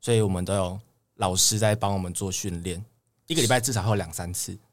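Low-voiced young Taiwanese man. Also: quick pace. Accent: Taiwanese Mandarin. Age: young adult. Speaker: guest, idol trainee. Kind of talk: casual interview chat.

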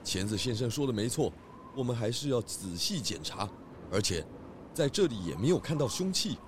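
A man's voice: medium pitch, sing-song, normal speed, background noise, lightly acted. A man playing a genial, methodical pig detective inspector in a children's audio drama, questioning in a polite, easygoing way. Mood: businesslike, calm.

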